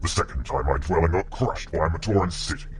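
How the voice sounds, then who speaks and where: Guy doing huge gruff sea monster henchman, super deep, grumbly n resentful, cartoon dub. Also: quick pace, a little hiss or hum, natural voice. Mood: grumbling, resentful. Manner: grumbling, grudging. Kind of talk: fan dub animation acting.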